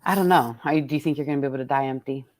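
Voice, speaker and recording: A woman with a strikingly low voice chats informally as an interviewer. She feels her way through thoughts, breaking off and rewording them, and the sound is dry and close. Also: very fast, darkish tone, no echo. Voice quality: low-pitched. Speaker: poet hosting a conversation. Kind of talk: casual reflective interview chat.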